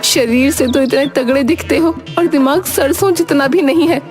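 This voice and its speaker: A woman voicing a sharp-tongued young village wife and mother with a dramatic, plaintive manner in an animated folk tale. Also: sits high, moderate pace, voice pushed up, natural voice. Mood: scolding. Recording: music behind the voice.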